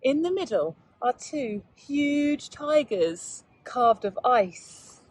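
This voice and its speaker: Woman, teacher, higher-pitched, husky, dry close sound, measured read-aloud delivery for young children. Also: very animated, a little mellow, no echo. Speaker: teacher reading to pupils. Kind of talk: children's read-aloud and informal lesson talk.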